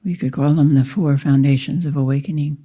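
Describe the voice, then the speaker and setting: A woman meditation teacher guiding a practice in slow, deliberate short phrases with long pauses. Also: deep voice, fairly even intonation, mellow, breathy edge. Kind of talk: guided meditation, slow spoken instruction.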